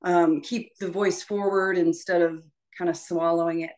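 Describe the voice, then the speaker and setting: A woman voice teacher leading an informal prep session, explaining things in a halting, conversational and encouraging way. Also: fairly low pitch, level tone, darkish tone. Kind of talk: informal spoken lesson.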